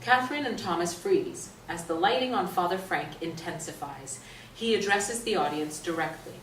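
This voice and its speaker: A woman's voice: lowish voice, lively intonation, normal speed. A woman narrating stage directions as a scripted reading for a play. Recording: roomy, distant stage recording, faint noise.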